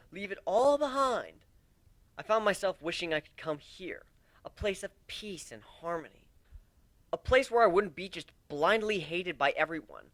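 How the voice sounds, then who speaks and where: An earnest, nerdy young griffin, played by a man in a dramatic story reading. He rambles in a high, very animated voice, full of self-deprecation. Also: no echo.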